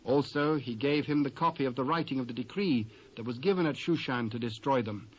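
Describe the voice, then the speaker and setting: A British man narrating scripture in a solemn, measured, dramatised style. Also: mid-range voice, slightly rough. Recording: dull old cassette recording.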